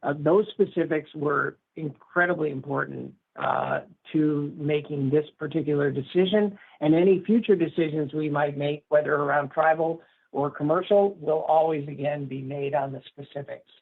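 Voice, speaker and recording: A male company executive answering questions on a conference call in a measured, formal and emphatic way. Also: a little high, steady tone, gravelly. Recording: narrowband conference phone line.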